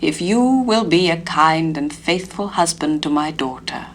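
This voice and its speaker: A tall, splendid old man of the lake, as a woman storyteller voices him speaks with solemn weight. His warnings press harder on the key words, in a lowish, measured voice. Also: lively intonation, slight background noise. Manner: solemn, rising to urgent warnings.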